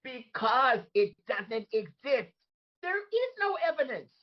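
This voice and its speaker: A woman playing an aggrieved, bewildered disgraced crony with a husky voice in a comedic table read. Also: fairly high pitch, big pitch swings, slow, dull audio, heavily acted. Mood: exasperated. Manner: indignant, emphatic, dramatic. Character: Rudy, aggrieved, bewildered disgraced man trapped in woman's body.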